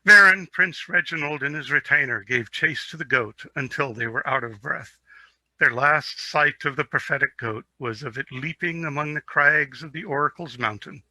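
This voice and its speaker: A man narrates a fantasy tale with theatrical expression. His voice is very bright and forceful, and the sound is close and dry. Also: a little high, moderate pace, dry recording, poor audio. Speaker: storyteller. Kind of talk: dramatic reading of a fantasy story.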